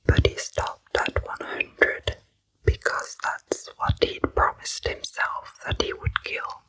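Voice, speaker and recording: A woman narrating a true crime story for ASMR in a soft, slow, deliberate whisper, close to the microphone.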